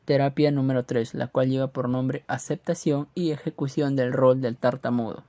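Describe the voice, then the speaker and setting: A man's voice: mid-range voice, steady tone, moderate pace, warm tone, dry recording. A man reading scripted educational narration aloud in a formal manner.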